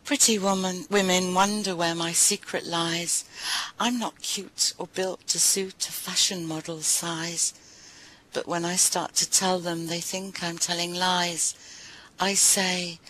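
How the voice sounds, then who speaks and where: Woman doing a poetry reading, slowish and careful, bright crisp edge, voice a bit low. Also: little pitch movement, rough recording. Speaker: poetry reader at a live event. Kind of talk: poetry recital with brief informal introductions.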